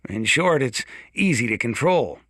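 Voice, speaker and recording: A man's voice: medium pitch, expressive, measured pace, pushed and bright, dry recording, studio quality. A man playing a folksy, gun-loving small-town sheriff in a video game, chatting boastfully in a conversational radio-style monologue. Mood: folksy, proud.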